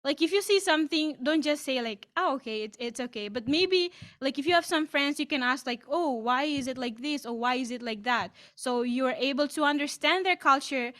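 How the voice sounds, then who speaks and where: This is a high-pitched young woman from East Timor whose first language is Tetun. She answers in English on a video call. She keeps dropping in "like" and often stops and restarts her sentences. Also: a bit bright. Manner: frequent "like" fillers, halting restarts. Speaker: student panelist. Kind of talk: online Q&A answer.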